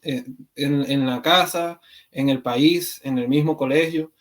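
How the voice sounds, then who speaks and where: A man from Venezuela speaks as a podcast guest, thinking out loud and halting often on fillers and restarts. His voice sits at an ordinary middle pitch for a man. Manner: hesitant, many fillers and restarts. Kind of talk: conversational interview.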